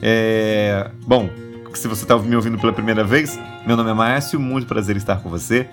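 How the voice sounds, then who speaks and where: A man's voice: on the low side, fairly even intonation, normal speed, soft background music. A man with a Brazilian accent hosting a casual religious podcast, chatty and full of little tag questions, his voice nasal from a stuffy cold. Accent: Brazilian Portuguese. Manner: chatty, warm asides, many tag questions. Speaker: podcast host.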